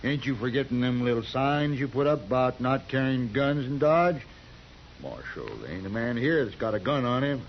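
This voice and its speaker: An American actor playing a defiant, taunting mob ringleader in a 1950s radio Western, with folksy, cocky talk. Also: male voice, mid-range voice, animated, moderate pace, lightly acted. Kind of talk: 1950s radio drama acting. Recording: dull, faintly noisy vintage radio recording.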